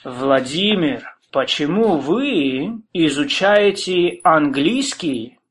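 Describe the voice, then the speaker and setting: Male actor as a polite newcomer learning the language. Unhurried, careful delivery. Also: fairly high pitch, lively intonation, a bit bright, breathy edge, full character voice. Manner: slow, deliberate learner's speech.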